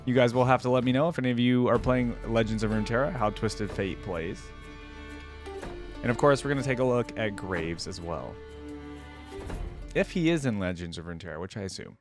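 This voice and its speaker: A man's voice: medium pitch, brisk, soft background music. A man hosting a reaction video with casual, chatty commentary.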